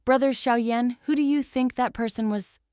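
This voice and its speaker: A woman voicing a gentle, clever teenage girl in a fantasy audiobook, calm and quietly teasing. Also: a little high, moderate pace, clear, resonant tone, dry recording, clean studio sound, voice pushed up, close to an everyday voice. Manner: soft, calm, composed. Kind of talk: audiobook fantasy character dialogue.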